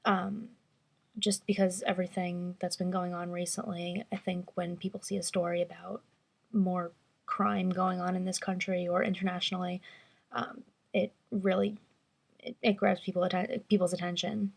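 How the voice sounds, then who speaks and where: A woman around twenty answers interview questions, pausing to think. She speaks in a flat, level tone with heavy vocal fry. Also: lowish voice. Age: 20s. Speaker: survey respondent.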